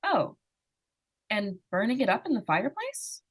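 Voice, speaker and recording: A woman's voice: medium pitch, very animated, normal speed, breathy edge. A woman acting an inquisitive, innocent-seeming young girl in 1940s radio suspense style, with a hesitant, artless, questioning manner. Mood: curious, probing.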